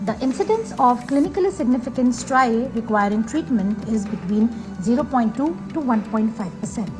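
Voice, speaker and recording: A woman with an Indian English accent reading a scripted medical video narration slowly and evenly. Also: fairly high pitch. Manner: slow, even reading of a prepared script. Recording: voice-over with background music.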